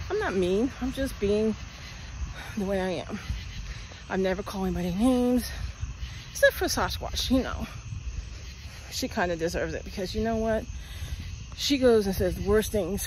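A woman's voice: mid-range voice, noisy background. A woman in her forties vlogging while walking outdoors at night, talking bluntly and rambling into a phone held at arm's length. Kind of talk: casual walking vlog. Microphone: phone at arm's length, earbuds.

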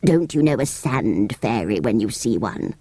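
A woman voicing a grumpy, haughty ancient furry sand fairy in a dry, husky, slow and pompous way for a storybook. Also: a bass voice, big pitch swings, warm tone, no echo, voice pushed down, full character voice. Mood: sharp, scornful.

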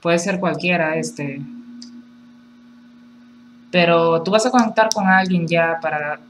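A woman with a fairly low voice gives a relaxed, chatty tarot reading at a table. She talks in a reassuring way and leans on filler phrases. A faint room hum sits behind her. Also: slow pace. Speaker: tarot reader. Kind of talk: casual tarot reading to camera. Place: indoors at a table with cards on a cloth.

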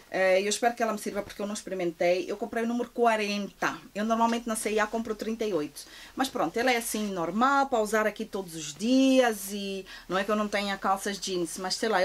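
A woman, chatting through a clothing haul in her closet, gushing and restarting often. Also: medium pitch. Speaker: fashion vlogger. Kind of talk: shopping haul vlog. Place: walk-in closet at home.

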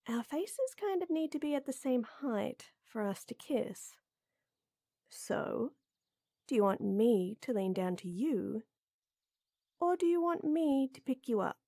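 A woman playing a tall, tender, teasing lady knight in an intimate roleplay audio, coaxing softly. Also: a little high, no echo, clean studio sound, heavily acted. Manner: soft, teasing, coaxing, with breathy near-whispers.

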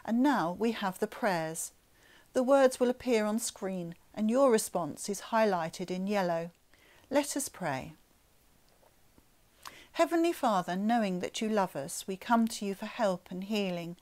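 A middle-aged woman with a British accent leading an online church service in a measured way. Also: mid-range voice, animated, a little mellow. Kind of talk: leading prayers, reading intercessions. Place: living room at home.

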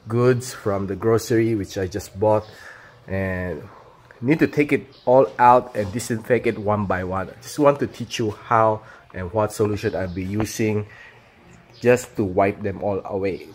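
A man in his thirties with a Filipino accent gives a casual, halting how-to demonstration as a vlogger, recorded on a phone outdoors. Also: fairly low pitch, a little mellow. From Philippines. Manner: halting, explanatory. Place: outdoor paved yard or garage at home. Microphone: handheld phone camera. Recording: clear ambient noise on phone video.

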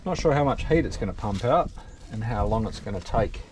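A man's voice: fairly low pitch, expressive, fairly quick, darkish tone, slight background noise. An Australian man talking casually while cooking on a small catamaran, in an easygoing, matter-of-fact way. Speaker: vlogger. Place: on a small catamaran on a river, outdoors.

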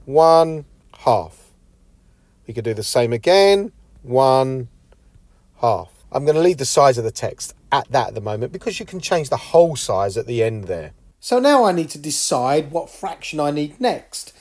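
A man's voice: fairly high pitch, a little mellow, no room sound. A middle-aged man talking through a tablet app tutorial step by step, explaining each tap like a teacher. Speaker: education technology trainer. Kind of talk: screen-recorded tutorial walkthrough.

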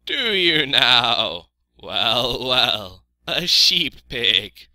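A man's voice: medium pitch, very animated, extremely slow, bright, forceful tone, no room sound, voice pushed up, exaggerated voice. A man voicing a bossy, talkative old mother sheep in a storybook, emphatic and repeating herself. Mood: questioning. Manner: emphatic, repetitive.

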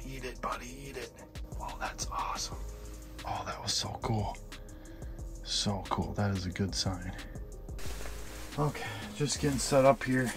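A man vlogging his ice fishing trip in a shack on a frozen lake, excited and chatty, talking to the fish he sees on camera. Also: medium pitch, creaky. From Wisconsin. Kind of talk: casual outdoor fishing commentary.

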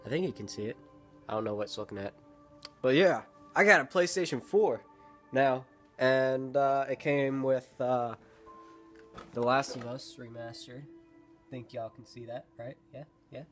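A young man with a stuffy nose and sore throat, rambling excitedly in a casual gaming vlog filmed at home. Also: mid-range voice, measured pace, long pauses, soft background music. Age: young adult. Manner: excitable, rambling, lots of fillers. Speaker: gaming YouTuber. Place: bedroom at home, indoors.

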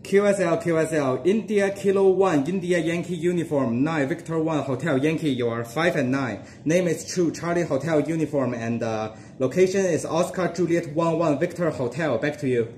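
A man's voice: a little high, moderate pace, hardly any pauses, darkish tone. A young man working as an amateur radio operator, exchanging call signs in phonetic spelling. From Singapore. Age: young adult. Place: small dark room indoors. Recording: roomy echo, background noise, clicking.

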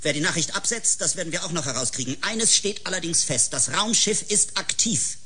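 High-pitched, brusque project leader. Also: male voice, expressive, fairly quick, sharp tone, breathy edge, full character voice. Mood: dismissive. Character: stern, sceptical male space project leader. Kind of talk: German science-fiction radio drama acting.

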